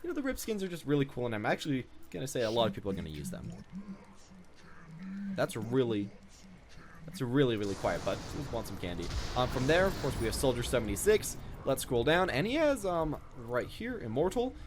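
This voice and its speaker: A man's voice: medium pitch, sing-song, a little fast. A young man giving casual, off-the-cuff commentary as he shows off items in a video game. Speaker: gaming video creator. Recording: noticeable background noise.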